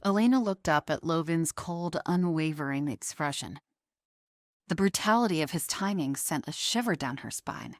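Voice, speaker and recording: A woman with a lowish voice narrates a dramatic story. Her delivery is measured and emotive, captured close and dry with no room sound. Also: expressive, no room sound.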